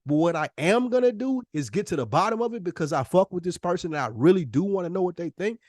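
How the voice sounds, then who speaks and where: A man's voice: sits high, expressive, fast talker, a little mellow, no room sound, clean studio sound. An American man co-hosting a podcast in casual, slangy, emphatic talk, often acting out imagined dialogue. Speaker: podcast co-host.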